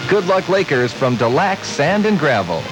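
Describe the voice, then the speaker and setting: Lively male radio ad announcer over music. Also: a little high, normal speed, rough recording. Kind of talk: scripted local radio commercial.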